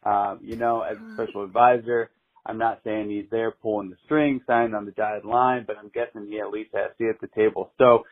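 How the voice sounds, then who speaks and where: Over a thin, tinny phone line, a man leaves a casual voicemail. His voice is fairly low and flat in pitch, with a matter-of-fact delivery. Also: brisk. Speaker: caller leaving a voicemail.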